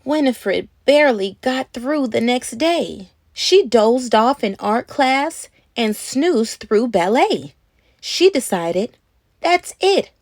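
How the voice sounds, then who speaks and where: Female children's read-aloud host, animated storybook narration, unhurried pace, very dry close sound. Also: a little high, no room sound. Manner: animated, acts out words and sound effects.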